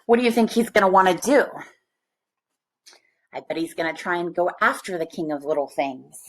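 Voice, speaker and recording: A woman reading a picture book aloud to children, with expressive, deliberate phrasing. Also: fairly low pitch. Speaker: storybook reader. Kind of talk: read-aloud storytelling for children.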